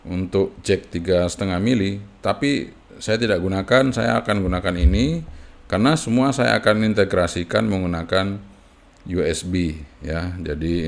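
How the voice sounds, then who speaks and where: A man gives a casual hands-on tutorial as a hobbyist presenter, explaining piece by piece and often tagging phrases with a short confirming word. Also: sits low. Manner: halting, piecemeal explaining with frequent "ya" tags.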